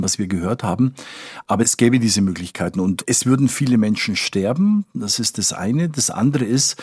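Man, low husky voice, conversational correspondent, emphatic repetitions, dry close sound. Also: a little mellow, no room sound. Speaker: foreign correspondent. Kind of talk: conversational expert explanation in an interview.

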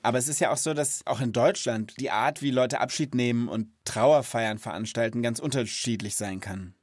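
A man's voice: medium pitch, moderate pace, dry recording, clean studio sound. A man hosting a children's podcast, talking and asking questions in a conversational way. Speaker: podcast host.

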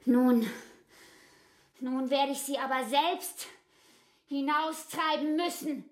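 An actress playing an agitated, proud young barmaid in a literary radio drama, speaking with theatrical emphasis. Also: female voice, high-pitched, fairly even intonation, slow. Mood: scornful. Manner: theatrical, emphatic, repeating phrases.

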